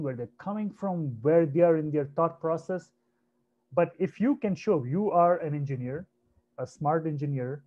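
Presenter, male, 30s, from India: soft, mellow voice, chatty teaching delivery, heard over dull lo-fi video call audio. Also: fairly high pitch. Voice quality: naturally soft voice. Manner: conversational, repeats himself, asks "right?" often. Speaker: webinar presenter. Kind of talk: online career webinar talk. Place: home room on a video call. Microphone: computer mic on a video call.

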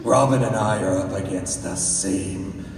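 A man playing a calm, steady masked crime-fighter with measured, reassuring old-time radio delivery. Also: low-pitched, a crowd in the background. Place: on stage in a large convention hall. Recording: echoey convention hall from far off.

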